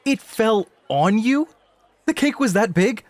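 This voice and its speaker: An actor playing a nervous, earnest rookie club host who talks casually and gets flustered easily in a scripted audio roleplay. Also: male voice, high-pitched, lively intonation, slow. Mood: curious, teasing. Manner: casual, stammering, self-conscious.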